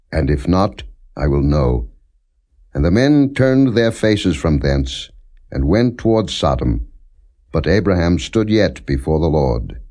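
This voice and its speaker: A man reading scripture as an audiobook narrator, in a solemn, measured, formal manner without dramatization. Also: sits low, a little mellow.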